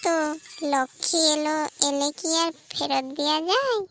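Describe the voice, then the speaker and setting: A high, girlish voice for a scheming younger sister in a cartoon , played by a woman. She is cheeky and theatrical, given to taunting her rival in love. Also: expressive, normal speed, gravelly, fairly bright tone, slight background noise, full character voice. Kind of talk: cartoon skit dialogue.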